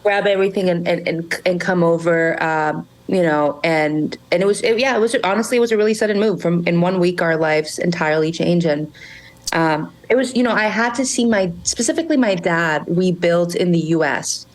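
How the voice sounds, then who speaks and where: A young woman with a somewhat low voice speaks as a guest on a podcast. She is earnest and reflective, often stopping to restart her sentences and filling pauses with "um" and "you know.". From Monterrey, Mexico. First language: Spanish. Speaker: guest, brand founder. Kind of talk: casual podcast interview.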